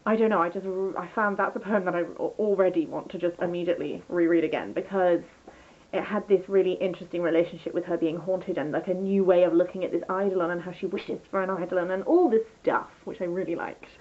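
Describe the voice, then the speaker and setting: A woman's voice: fairly low pitch, fairly even intonation, fast talker, narrow, tinny audio. A woman chatting casually through a book review as a vlogger, rambling and enthusiastic, often interrupting herself.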